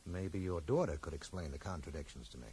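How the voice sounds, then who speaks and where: An actor playing a courteous, probing bookseller who questions people in a measured, polite way in a 1980s radio drama. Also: male voice, sits low, dark tone, natural voice. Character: probing, polite bookseller investigating a stranger's death. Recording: slightly noisy old radio broadcast recording.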